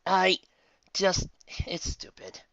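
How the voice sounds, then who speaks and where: A man voicing a weary, exasperated young female unicorn pony with a halting, dramatic delivery. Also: high-pitched, big pitch swings, a bit bright, no room sound, dull audio, pitched above the performer's own voice, exaggerated voice. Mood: dazed, weary.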